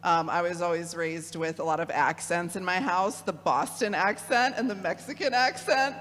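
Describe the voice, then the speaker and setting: A woman in her thirties with a Midwestern American accent gives a relaxed, personable talk to a church audience. She fills pauses with ums. Her voice rings with the long reverb of a large parish hall. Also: lowish voice. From Michigan, USA. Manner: friendly, chatty, frequent ums and laughs. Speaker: guest speaker, theologian. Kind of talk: casual, personable lecture.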